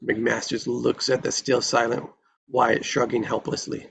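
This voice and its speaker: A man's voice: mid-range voice, monotone, a little slow, vocal fry, fairly bright tone, breathy edge. A man reading screenplay stage directions in a dramatic narrating style at a table read.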